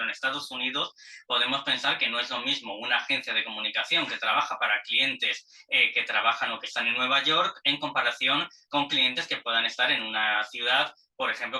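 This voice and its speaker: Very bright-voiced Spanish male interviewer, distant mic. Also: medium pitch. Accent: Spanish (Spain). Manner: hesitant, deliberate, repeating words. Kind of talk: long, discursive interview questions.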